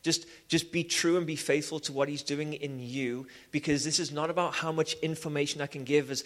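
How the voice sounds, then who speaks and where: Liverpool guy preaching, kinda high voice, lots of ums and restarts, big echoey church hall. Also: fairly quick. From England. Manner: animated, many restarts and "um"s. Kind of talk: sermon with personal testimony. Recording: long hall reverb.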